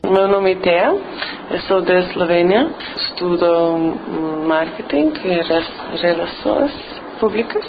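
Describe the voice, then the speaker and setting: Slow, hesitant Slovene-accented woman. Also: fairly low pitch, expressive, highs cut off, background noise. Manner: hesitant learner's Portuguese. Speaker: student. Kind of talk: interview answer.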